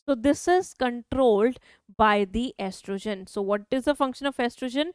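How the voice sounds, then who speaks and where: A biology teacher with an Indian English accent, her high voice crisp and clear. She poses a question to her students and answers it herself in a steady lecturing flow. The sound is close and dry, with no room around it. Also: moderate pace, no room sound. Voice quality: high-pitched voice. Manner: asks questions then answers them herself. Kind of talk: online exam-prep lecture.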